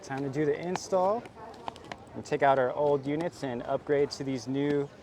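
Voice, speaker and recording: A man's voice: medium pitch, fairly even intonation, normal speed, noisy background. A man giving an informal, easygoing product demonstration outdoors with other people around. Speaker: motorcycle vlogger. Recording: busy outdoor chatter behind.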